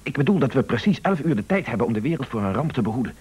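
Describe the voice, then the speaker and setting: A man acting a brisk, confident husband who runs a news agency in a Dutch-language radio play. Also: medium pitch, very animated, a little mellow, breathy edge. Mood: grave, urgent.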